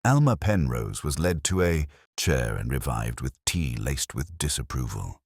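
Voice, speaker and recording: A man's voice: rumbling low, big pitch swings, unhurried, darkish tone, slightly rough, no room sound, studio quality. A man reading scripted narration of a comic village mystery in a wry, literary storytelling manner.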